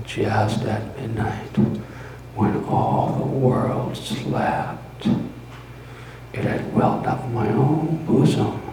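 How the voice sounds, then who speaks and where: A man voicing a mad, obsessive murderer confessing his crime in a dramatic gothic horror reading, halting and theatrical, moving between breathy whispers and loud outbursts. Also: mid-range voice, lightly acted. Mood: brooding, haunted. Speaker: storyteller. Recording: roomy live recording with faint noise.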